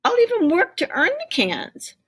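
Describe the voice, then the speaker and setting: A woman's voice: very high voice, huge pitch swings, moderate pace, pitched above the performer's own voice, exaggerated voice. A woman voicing an eager, stubborn young boy in a storybook, speaking earnestly and insistently.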